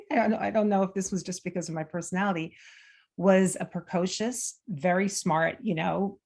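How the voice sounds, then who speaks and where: A middle-aged American woman, a guest in a conversational interview, talking freely with many fillers and restarts. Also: lowish voice. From United States. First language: Armenian. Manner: chatty, frequent fillers and restarts.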